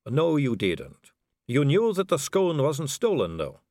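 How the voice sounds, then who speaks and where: A poised noblewoman vampire drawls each word slowly and silkily, lifting her voice a little higher and floating it through a wide, lilting melody, as a male audiobook narrator puts her on. The reading is close and dry, with no room sound. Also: huge pitch swings, a little mellow, no room sound, exaggerated voice. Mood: silky. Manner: slow, silky, languid delivery. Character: suave, poised aristocratic female vampire.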